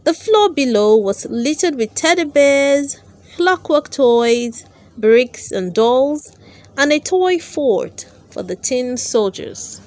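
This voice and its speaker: Woman, children's story narrator, higher-pitched voice, very lively intonation, careful expressive read-aloud. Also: slow pace. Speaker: storyteller, channel host.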